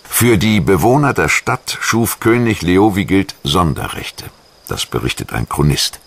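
A man reading a scripted history documentary narration in a measured, articulate storytelling manner. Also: deep voice, sing-song, vocal fry, a bit bright. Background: music.

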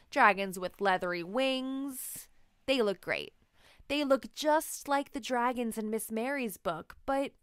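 A woman reading a children's story aloud expressively, with deliberate, emphatic phrasing. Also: on the high side, a bit bright, clean tone, no room sound, clean studio sound. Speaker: children's book narrator.

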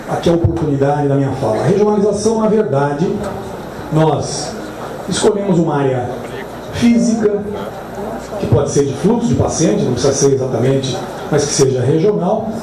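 A man from Brazil gives a formal farewell speech as outgoing health secretary, measured, with slow, stretched phrases. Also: fairly high pitch. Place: large crowded hall, indoors. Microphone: far camera in the crowd. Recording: echoey hall heard from far off, noisy crowd.

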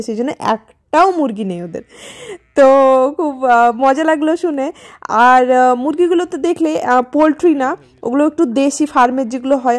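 A woman's voice: high-pitched, a little mellow. A woman from India, narrating a casual vlog in a chatty, rambling way with hesitant fillers. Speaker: vlogger.

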